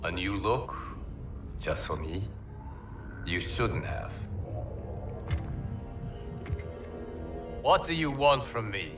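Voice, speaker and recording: A man's voice: fairly low pitch, sing-song, takes it slowly, distant mic, music in the background. A man playing a sinister, vengeful sorcerer in a video game cutscene, with a sardonic and menacing manner.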